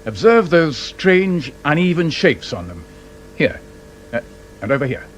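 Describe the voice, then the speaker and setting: A dryly sarcastic British investigator in a radio drama. He explains with pedantic, theatrical relish and teases as he goes. His highish voice swings freely in pitch, over some background noise. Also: big pitch swings, unhurried. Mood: wry, instructive.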